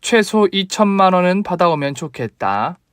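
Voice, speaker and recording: A man's voice: high voice, big pitch swings, normal speed, a bit bright, smooth, no echo, clean studio sound, pitched above the performer's own voice. A scornful, grasping old mother-in-law, as a man reads her. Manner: scolding, demanding, contemptuous. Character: harsh greedy older mother-in-law, scornful.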